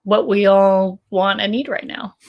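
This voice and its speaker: A woman's voice: mid-range voice, fairly even intonation, a little slow, creaky, muffled recording. A woman chatting in an unscripted, casual way as a guest on a panel.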